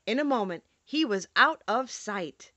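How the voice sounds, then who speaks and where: A woman narrates a storybook in a high, very animated voice. Her reading is expressive and scripted, recorded dry and close. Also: measured pace, no room sound, dull audio. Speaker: audiobook narrator.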